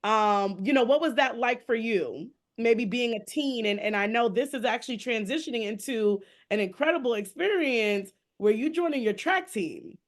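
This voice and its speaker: A woman hosting a casual conversational podcast interview, quick to laugh and react to what she hears. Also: a little high, expressive. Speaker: podcast host interviewing her father.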